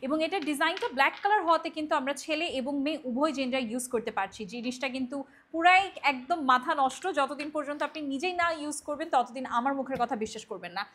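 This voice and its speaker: A woman with a Bangladeshi accent giving a casual, chatty product pitch as a shop presenter, mixing in English words. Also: fast, fairly bright tone.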